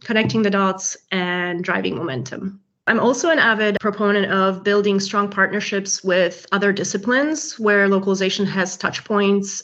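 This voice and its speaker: A woman's voice: medium pitch, a bit bright. Poised, articulate woman on a dull line. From Poland. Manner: articulate, measured professional self-presentation. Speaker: guest. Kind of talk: podcast interview. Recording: dull lo-fi audio.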